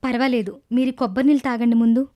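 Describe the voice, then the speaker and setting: Woman as a kind, high-voiced young coconut seller. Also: fairly even intonation, fast, mellow, natural voice. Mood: polite. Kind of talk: children's animated moral tale dubbing.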